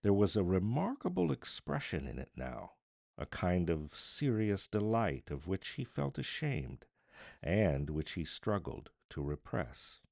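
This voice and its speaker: Deep, creaky male storyteller, slow and dramatic. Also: wildly swooping pitch, a little mellow, no room sound, studio quality. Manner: theatrical, deliberate, solemn. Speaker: audiobook narrator. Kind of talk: dramatic literary narration.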